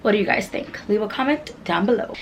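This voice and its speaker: Hoarse deep-voiced woman, calm. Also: fast talker, a little hiss or hum. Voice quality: naturally deep voice. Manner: calm and quiet, with laughs. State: hoarse from illness. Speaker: fashion vlogger. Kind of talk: casual try-on haul chat. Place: bedroom with vanity and mirror.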